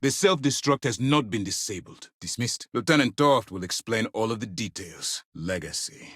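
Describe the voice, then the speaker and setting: A man's voice: fairly low pitch, animated, moderate pace, fairly bright tone, husky, no echo, clean studio sound. An actor playing a stern male space navy commander in a video game, speaking in a formal, authoritative way with clipped orders. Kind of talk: scripted game dialogue, dramatic military acting.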